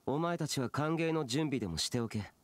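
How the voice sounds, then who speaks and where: A man playing an aloof, youthful immortal warrior in an anime game, speaking gravely and curtly. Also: medium pitch, animated, a little fast, slight background noise, lightly acted. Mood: solemn, instructive. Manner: curt, grave, stern. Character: solemn, aloof immortal warrior guardian, young-looking man. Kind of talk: Japanese anime game voice acting. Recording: game music behind.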